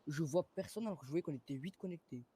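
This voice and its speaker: A man chatting casually in game voice chat, repeating himself in a hurried, defensive way. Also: on the high side, dark tone, slightly rough. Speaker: player acting as a police officer. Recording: noisy game voice chat mic.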